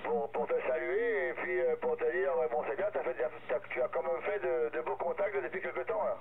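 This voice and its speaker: A man's voice: on the high side, brisk, creaky, phone-quality sound. Crackly-voiced man over a thin radio link. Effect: two-way radio. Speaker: amateur radio operator. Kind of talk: casual radio chat. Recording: thin noisy two-way radio transmission.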